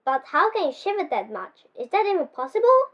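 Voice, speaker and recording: A ten-year-old girl voicing a bold, excitable male toy penguin in a storybook, confident and exclaiming. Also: extremely high pitch, a little fast, dull audio. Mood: breezy reassurance.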